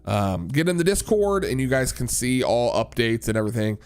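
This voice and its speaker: A man's voice: medium pitch, sing-song, fairly quick, darkish tone, smooth. A man hosting a livestream, chatting casually and rambling with many restarts as he answers viewers. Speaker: livestream host and worship leader.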